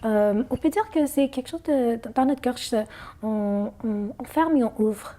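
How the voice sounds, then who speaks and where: Hesitant woman, Arabic native, mellow voice. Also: a little high, expressive, measured pace. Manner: hesitant, many restarts and fillers, laughs often. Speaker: singer, interview guest. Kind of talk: casual interview answers.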